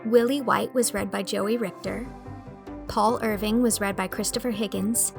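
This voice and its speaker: A woman's voice: mid-range voice, fairly even intonation, normal speed, gravelly. A woman narrator reading scripted closing credits for an audiobook. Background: music.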